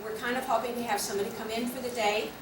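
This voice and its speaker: A woman's voice: on the high side, steady tone, very fast. A woman officer laying out upcoming class plans at a guild meeting in a practical, explanatory way. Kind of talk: announcements at a club meeting. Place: meeting room. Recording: echoey room, distant mic, noisy.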